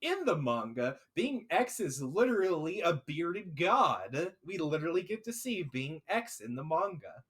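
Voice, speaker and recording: A man's voice: fairly high pitch, big pitch swings, unhurried, hardly any pauses, fairly bright tone, breathy edge. Rambling man chatting about a show. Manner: rambling, lots of fillers and restarts. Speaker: podcast host. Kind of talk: casual chat.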